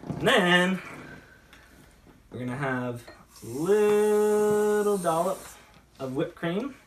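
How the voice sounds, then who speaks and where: A woman's voice: fairly low pitch, takes it slowly, a little hiss or hum. A woman co-hosting a talk show, chatting in a jokey, giggly way while mixing drinks.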